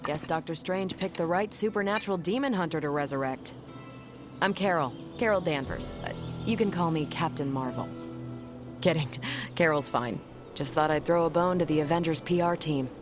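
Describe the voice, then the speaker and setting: An actress playing a confident, wisecracking superhero and former pilot in a video game, with a breezy, joking manner. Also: female voice, low voice, normal speed, natural voice. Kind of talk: video game cutscene dialogue. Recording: music under the dialogue. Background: music.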